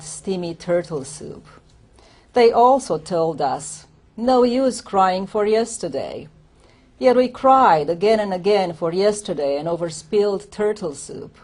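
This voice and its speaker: Woman poet, low voice, reflective reading of her own prose poems with halting explanations. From Romania. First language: Romanian. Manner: unhurried, reflective, explains between poems with hesitant asides. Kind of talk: poetry reading with spoken commentary.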